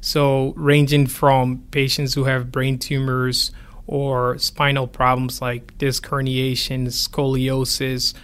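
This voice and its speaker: A man you'd hear as the expert guest on a podcast, answering in an even-pitched conversational voice. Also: takes it slowly, smooth. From Ghana. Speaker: neurosurgeon, interview guest.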